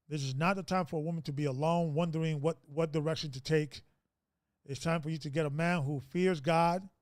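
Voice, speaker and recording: A man's voice: a little high, dry recording, clean studio sound. A man in his fifties hosting a casual group discussion, preachy and rambling, with many restarts. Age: 50s.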